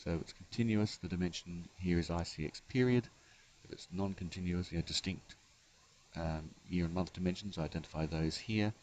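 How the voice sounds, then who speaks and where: A man giving an unscripted software tutorial, explaining step by step in a halting way as the developer. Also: low-pitched, slight background noise, rough recording. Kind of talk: unscripted screencast tutorial narration.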